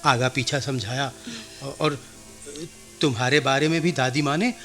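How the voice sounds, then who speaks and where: An actor in a Hindi radio play playing a grateful, loving village husband, speaking in an earnest, affectionate, dramatic way. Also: male voice, mid-range voice, fairly even intonation, unhurried, fairly bright tone, soft background music, close to an everyday voice.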